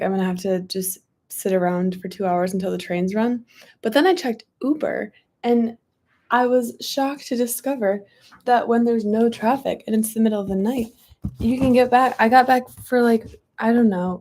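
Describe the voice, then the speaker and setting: A young woman with a hoarse voice, chatting casually and reading a book aloud, with frequent ums and likes. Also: medium pitch, mellow, a little hiss or hum.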